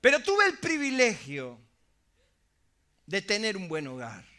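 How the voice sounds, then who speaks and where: A middle-aged male pastor delivers a sermon in an unusually high, bright voice. He preaches with emphasis and keeps tossing rhetorical questions to his listeners. The tone stays forceful and ringing. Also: huge pitch swings, a little slow.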